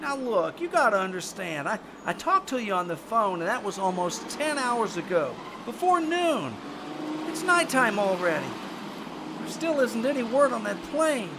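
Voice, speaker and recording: A man's voice: sits high, big pitch swings, moderate pace. A man playing an insistent but uneasy newspaper reporter in an old-time radio drama, stammering as he excuses himself. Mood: insistent, defensive. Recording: noisy live stage recording.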